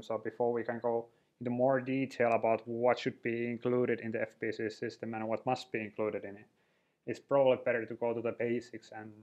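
A man's voice: mid-range voice, a little mellow. A man giving a training lecture over slides, talking loosely with frequent restarts and fillers.

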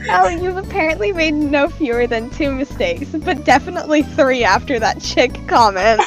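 A woman's voice: high voice, lively intonation, normal speed, over music, poor audio. A woman voicing a breezy, bossy teenage girl stage magician in a comedic game fandub, chirpy and teasing. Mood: teasing, smug.

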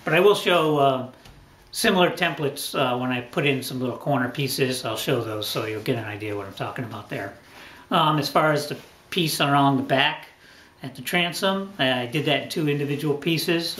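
A middle-aged man talks straight to the camera from a small workshop corner, laying out his work casually and pausing often with ums and uhs. His voice sits at an ordinary middle pitch. The room adds a noticeable echo. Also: a bit bright. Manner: unhurried, frequent ums and uhs, thinking aloud. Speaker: boat restoration channel host. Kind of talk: casual talking-head explanation.

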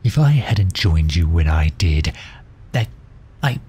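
A man playing a commanding, protective villain in a roleplay audio drama, formal and stern, with a husky, breathy voice. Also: sits low, very animated, warm tone, heavily acted. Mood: alarmed, scolding. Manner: formal, stern, halting when flustered. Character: protective, commanding male villain fretting over injured hero. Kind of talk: scripted roleplay audio drama in ASMR style.

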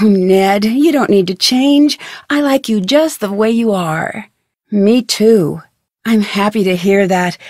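A woman's voice: mid-range voice, darkish tone, no room sound, clean studio sound, natural voice. A young woman voicing a friendly, curious, plucky amateur detective in a video game, with earnest, conversational delivery. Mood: affectionate, playful.

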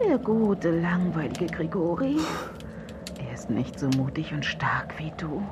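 An actress playing a seductive undead vampire woman in a horror radio drama, pleading and wheedling in slow, partly whispered phrases. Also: low-pitched, expressive, very noisy. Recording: loud music behind.

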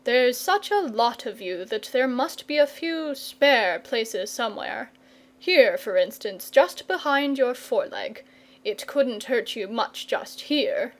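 A woman's voice: a little high, lively intonation, slow pace. A woman voicing a confident, patient knight in a storybook, earnest, courteous and persuasive. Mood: thoughtful. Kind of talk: storybook character reading.

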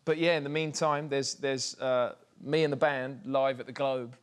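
A man's voice: mid-range voice, fairly even intonation, normal speed, smooth. A young male musician with an English accent, telling a laughing anecdote as a guest on a studio stage. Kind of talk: storytelling chat.

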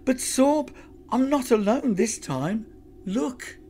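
A man's voice: very high-pitched, expressive, slow pace, darkish tone, a little hiss or hum, pitched above the performer's own voice, full character voice. A man voicing a bold, cheeky girl heroine with a defiant, taunting manner in a storybook reading.

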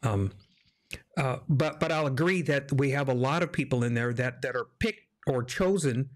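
A middle-aged man with an American accent talks through faith in a casual discussion. His voice sits at an ordinary pitch, and he circles back over his phrases, leaning hard on key words. He speaks into a close desk mic in a small home studio. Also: moderate pace. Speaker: discussion host. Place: small indoor home studio, video call. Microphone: desk microphone up close.

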